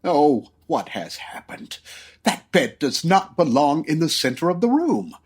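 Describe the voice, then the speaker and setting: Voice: mid-range voice, very animated, a little slow, a little mellow, husky. An actor playing an anxious, formal hotel manager who frets in dismay in a radio-style audio drama. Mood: alarmed. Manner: fretful, formal.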